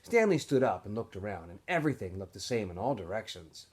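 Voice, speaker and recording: Man, read-aloud narration, expressive storyteller, animated pitch movement, husky tone. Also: fast. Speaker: teacher or school staff reading aloud to students. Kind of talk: expressive audiobook-style narration.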